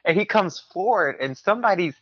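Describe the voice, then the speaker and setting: A man's voice: sits high, expressive, a little fast, fairly bright tone, no echo. A young man from Mississippi telling candid personal stories as an interview guest, with hesitant starts and acted-out dialogue, on lo-fi audio. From Mississippi, southern US. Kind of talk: candid personal storytelling in conversation.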